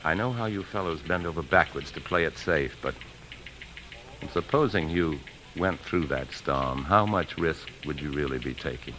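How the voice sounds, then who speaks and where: A man's voice: rumbling low, moderate pace, background noise. An American actor playing an edgy husband in a 1950s radio drama, tense and insistent, confiding when he narrates. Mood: pressing. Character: desperate, edgy husband and bank man narrating his flight. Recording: old 1950s radio broadcast, music behind.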